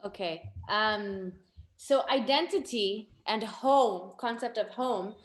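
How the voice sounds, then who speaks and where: A woman's voice: medium pitch, slow pace, a little hiss or hum. Reflective woman on an echoey video call. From Tanzania. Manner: open, reflective, lots of fillers. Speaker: guest photographer. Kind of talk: casual discussion. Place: home room. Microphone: video call laptop camera. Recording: echoey room.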